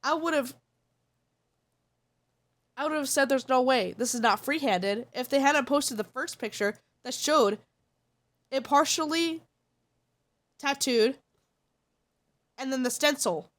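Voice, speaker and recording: Woman streamer, slightly high voice, stuffy nose, frank and opinionated casual chat. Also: slow pace, pauses often. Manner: blunt and opinionated. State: congested.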